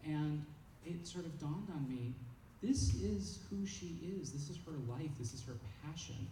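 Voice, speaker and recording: A man's voice: fairly high pitch, expressive, unhurried, mellow. A man from California, reminiscing informally and hesitantly, searching for his words. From California, United States. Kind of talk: informal reminiscing, answering questions. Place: echoey meeting room. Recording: echoey, far mic, noisy room.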